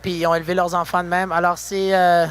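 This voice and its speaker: Young male musician from Saskatchewan, high voice, easygoing and chatty. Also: quick pace. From Saskatchewan, Canada. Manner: relaxed, chatty, full of fillers. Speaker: musician guest. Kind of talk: casual interview. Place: backstage at a concert venue.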